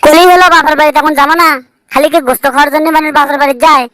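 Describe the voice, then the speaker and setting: A quarrelsome young village wife from a comedy cartoon , acted by a woman, with a northern Bangladeshi accent. She rattles off complaints insistently in a fairly high voice, stubborn and scolding, on a dry, close recording. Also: steady tone, very fast, fairly bright tone, dry recording. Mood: nagging, complaining. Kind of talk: comic cartoon dialogue.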